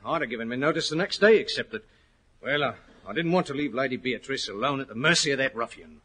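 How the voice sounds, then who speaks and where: Bright-voiced, blunt horse trainer. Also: male voice, mid-range voice, lively intonation, quick pace, breathy edge. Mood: earnest, worried. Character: gruff, bold English head horse trainer, respectful to gentlemen. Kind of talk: 1940s radio acting. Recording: noisy vintage 1940s radio recording.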